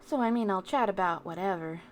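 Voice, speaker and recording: A woman livestreamer chatting casually to her viewers, musing off the cuff with pauses between thoughts. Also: medium pitch, a little hiss or hum. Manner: unscripted musing, addressing chat, with pauses. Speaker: VTuber livestreamer.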